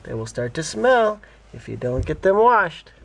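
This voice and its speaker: A man reading as a patient storybook mother, gently pleading, coaxing, creaky edge. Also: on the high side, big pitch swings, measured pace, full character voice.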